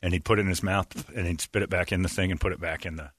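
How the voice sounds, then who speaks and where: A man hosting a morning radio show, telling animated stories in casual banter with his co-hosts. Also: low voice, quick pace, a little hiss or hum. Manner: animated storyteller who slips into voices.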